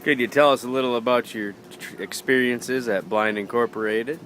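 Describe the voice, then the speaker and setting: A man's voice: medium pitch, moderate pace, a bit bright, a little hiss or hum. A man interviewing a fellow student, opening with a playful, announcer-like introduction.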